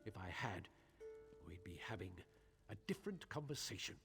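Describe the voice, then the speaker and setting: Theatrical, haughty scheming merchant. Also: male voice, fairly low pitch, sing-song, moderate pace. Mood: sneering, bitter. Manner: theatrical, pleading turning to sneering contempt. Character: scheming, haughty male silk merchant, wheedling and spiteful. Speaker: video game character. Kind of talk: dramatic video game voice acting. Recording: game audio with faint music.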